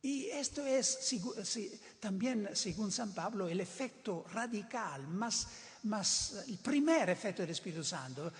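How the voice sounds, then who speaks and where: A man's voice: sits high, expressive, unhurried. An older man who is not a native Spanish speaker, preaching a sermon in an emphatic way, often repeating and restarting words. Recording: reverberant hall.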